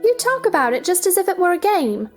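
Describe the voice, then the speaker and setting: A woman's voice: very high-pitched, sing-song, quick pace, soft background music. A woman playing a polite, curious, bewildered seven-year-old girl in a theatrical audio drama, earnest and wondering. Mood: polite, puzzled.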